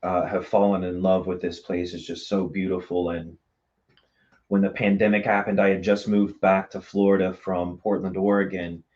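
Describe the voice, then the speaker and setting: Low-voiced man in casual interview. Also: little pitch movement, moderate pace, a little mellow. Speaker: guest, theater marketing director. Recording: slightly dull, lo-fi sound.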